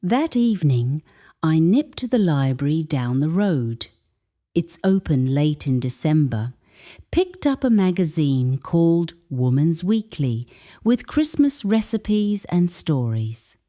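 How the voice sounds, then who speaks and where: A woman, an English teacher, calmly reading a first-person story aloud as scripted narration. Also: low voice, takes it slowly.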